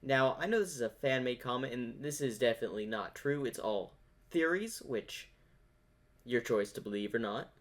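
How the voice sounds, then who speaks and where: A man's voice: medium pitch, lively intonation, moderate pace. Male reaction host, mid-range voice, casual fan commentary with a rambling, theorizing manner.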